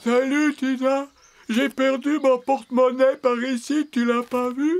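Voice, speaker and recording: A performer voicing a childlike, emotional cartoon character in a dramatic, emphatic way, over loud music. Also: sits high, little pitch movement, measured pace. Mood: worried.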